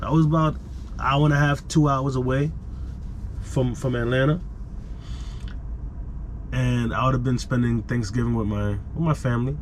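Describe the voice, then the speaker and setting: Male trucker-vlogger, mid pitch, unhurried casual storyteller, action camera audio with light vehicle ambience. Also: fairly even intonation, slight background noise. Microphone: action camera microphone.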